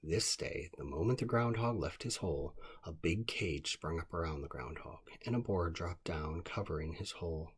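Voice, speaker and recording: A man with a deep, strongly husky voice reads a children's story aloud. His delivery is calm scripted narration, made for bedtime listening. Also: moderate pace, hardly any pauses.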